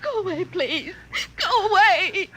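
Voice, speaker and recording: A woman's voice: extremely high pitch, lively intonation, takes it slowly, full character voice. An actress playing a terrified, hysterical young woman, wailing and pleading through tears in an old radio drama. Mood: hysterical terror. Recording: very noisy vintage radio recording.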